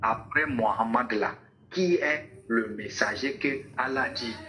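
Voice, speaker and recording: A man's voice: mid-range voice, slow pace, sharp tone, faint music behind. A man with a West African French accent arguing insistently on a phone call, repeating his points while he is cut off. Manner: insistent, repeats himself, often interrupted. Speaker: caller in a religious debate. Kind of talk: heated phone debate.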